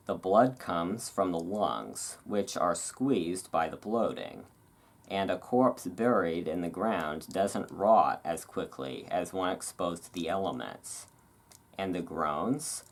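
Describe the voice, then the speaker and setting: A host reading aloud in a relaxed ASMR style, with casual, musing asides. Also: sits low. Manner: rambling, musing asides between read passages.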